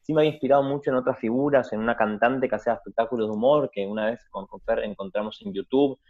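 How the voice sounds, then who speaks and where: A man's voice: mid-range voice, fast talker, darkish tone. Over a narrow remote-call line, a man with an Argentine accent talks casually as a guest, hesitantly searching for words. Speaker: guest performing artist and teacher. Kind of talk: casual interview talk. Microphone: remote internet call. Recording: narrow, tinny phone-like call audio.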